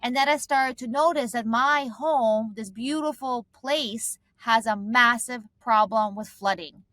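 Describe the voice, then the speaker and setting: A woman's voice: on the high side, a little slow, bright, forceful tone, no room sound. A woman from Eastern Europe and Israel, a founder guest in an interview, telling a personal story conversationally. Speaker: guest, startup founder and CEO.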